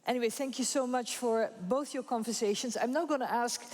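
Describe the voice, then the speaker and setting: A woman's voice: on the high side, brisk, darkish tone. A woman moderating a conference panel, chatty and informal, laughing easily. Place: conference room with audience. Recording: reverberant conference hall.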